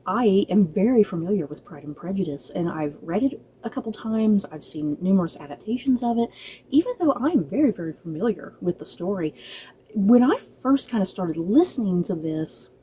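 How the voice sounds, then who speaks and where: A woman's voice: medium pitch, animated, moderate pace, mellow, slight background noise. A woman giving a casual, chatty spoken review, talking directly to her listeners in a conversational way. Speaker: book and film reviewer.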